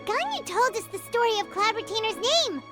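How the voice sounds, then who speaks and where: A woman voicing a tiny, excitable, childlike fairy companion in a video game. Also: brisk. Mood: curious, impressed. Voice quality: squeaky, childlike. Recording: music under the voice.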